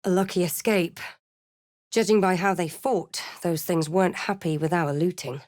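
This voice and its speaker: A woman voicing a wry, observant female adventurer who narrates her journey in a video game, measured and reflective. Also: fairly low pitch, steady tone, darkish tone, no echo, studio quality, close to an everyday voice. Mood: wry observation. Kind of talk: video game character voice lines and first-person narration.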